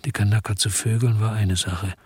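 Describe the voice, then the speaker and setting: An actor playing a bitter, brooding investigator who narrates a radio crime drama in a grim, terse, reflective way. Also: male voice, on the low side, steady tone, a little fast, husky, no echo, studio quality. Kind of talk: scripted first-person radio drama narration.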